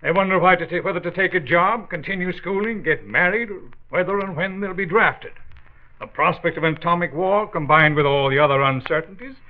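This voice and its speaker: An actor playing an earnest hospital psychiatrist in a 1940s radio drama, explaining formally on a thin old recording. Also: male voice, high voice, fairly quick, phone-quality sound, lightly acted. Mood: earnest, explanatory.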